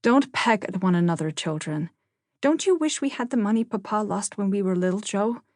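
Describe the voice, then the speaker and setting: A woman's voice: mid-range voice, lively intonation, moderate pace, a little mellow, no echo, studio quality, close to an everyday voice. A woman voicing a proper teenage eldest sister, sweet but complaining, with a lecturing, plaintive manner in an audiobook. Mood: wistful.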